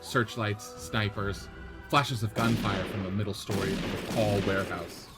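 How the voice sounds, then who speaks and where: Low-voiced man narrating a script. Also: steady tone, moderate pace, loud music behind the voice. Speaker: podcast host and script narrator. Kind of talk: scripted narration of screenplay directions. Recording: faint background noise.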